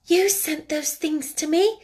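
A woman's voice: on the high side, slow. A woman voicing an earnest young girl who speaks in a joyful, emotional little voice. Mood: joyful, moved.

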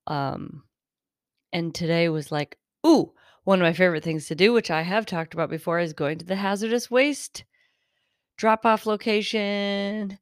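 A woman hosting her own improvised podcast, talking in a chatty, rambling way, her voice raspy and tired, partly lost. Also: lowish voice, dry recording, studio quality. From Northern California. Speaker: podcast host. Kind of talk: improvised solo talk. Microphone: close mic.

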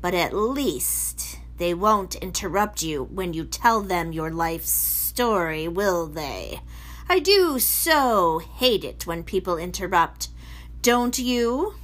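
A woman reading aloud and voicing a sinister, mocking giant man who drawls his words slowly. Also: high voice, lively intonation, fairly bright tone, voice pushed down, full character voice, rough recording. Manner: slow, drawn-out, taunting.

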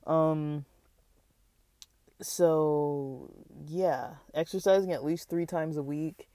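A slightly raspy, stuffy speaker with a mellow, dark tone talks candidly in a personal vlog. The delivery rambles and restarts, padded with fillers. The recording is dry, with no room sound. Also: mid-range voice, fairly even intonation, extremely slow, no echo. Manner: rambling, hesitant, many fillers; candid and reflective. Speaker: vlogger. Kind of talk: casual personal vlog talk.

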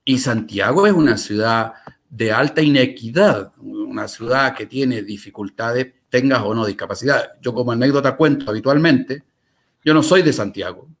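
A man with a Chilean Spanish accent talking conversationally as an interview guest, articulate and emphatic, repeating phrases to drive points home. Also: mid-range voice. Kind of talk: conversational storytelling and commentary.

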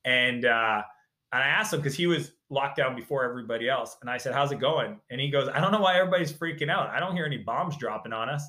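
A man talking as a guest in a casual interview, emphatic and animated as he tells his story. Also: mid-range voice, fast talker, roomy sound.

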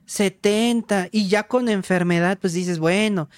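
A woman's voice: mid-range voice, unhurried, clear, resonant tone, no room sound. Mexican woman chatting emphatically, close dry sound. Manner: emphatic, repeating points with rhetorical questions. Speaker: podcast co-host. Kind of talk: casual conversation.

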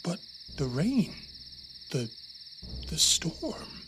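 An actor voices a timid male raccoon in an audio drama. He sounds anxious and unsure, with slow, halting delivery that leaps widely in pitch. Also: very animated, background noise. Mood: puzzled, worried. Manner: hesitant, uneasy.

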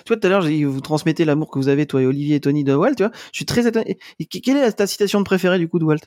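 Fast, joking Frenchman. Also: on the high side, a little mellow, dry recording, studio quality. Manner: joking, quick, teasing. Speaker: guest. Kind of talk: casual podcast chat.

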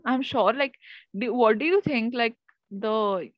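Chatty young woman, higher voice. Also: measured pace, dry recording. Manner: chatty, leans on "like" and "you know", leads the questions. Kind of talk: casual chat. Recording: dull lo-fi sound.

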